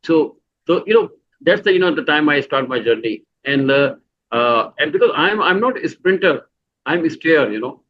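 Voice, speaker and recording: A middle-aged man with a Pakistani accent, answering interview questions in a halting way, full of "you know" fillers and restarts. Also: on the high side, lively intonation, lo-fi sound. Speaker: guest.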